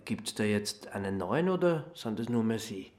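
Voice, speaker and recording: A man plays a quietly nosy Austrian amateur sleuth in a radio drama. He has a fairly low voice and a hesitant, sheepish way of talking, all mild innocence. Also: lively intonation, moderate pace, natural voice. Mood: feigned innocence. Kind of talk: naturalistic radio drama acting.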